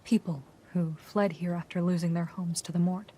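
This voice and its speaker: A down-to-earth mercenary woman from a video game, played by an actress, looking out for others. Her voice is on the low side and casually matter-of-fact, with faint ambience behind it. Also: steady tone, normal speed, warm tone, slight background noise, close to an everyday voice. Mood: kindly, matter-of-fact. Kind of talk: video game cutscene acting.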